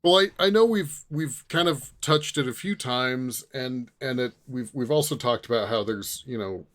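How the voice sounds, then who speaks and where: A man with a medium-pitched voice co-hosts a casual group chat. He rambles through his points and jokes along. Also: normal speed, fairly bright tone. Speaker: podcast co-host.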